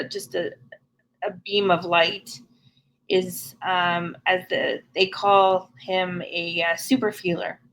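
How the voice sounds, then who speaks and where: Woman guest, middle-aged, frank and earnest, unhurried delivery. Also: fairly low pitch, a bit bright, a little hiss or hum, low-quality recording. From Canada. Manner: earnest, frank and plain-spoken. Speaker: podcast guest. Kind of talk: candid conversational interview.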